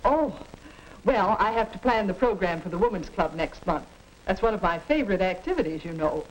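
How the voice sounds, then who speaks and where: A woman's voice: medium pitch, lively intonation, a little fast, natural voice. A woman playing a busy, dutiful American housewife in a 1950 educational film, stagey, as if talking to herself, on a noisy soundtrack. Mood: brisk, busy.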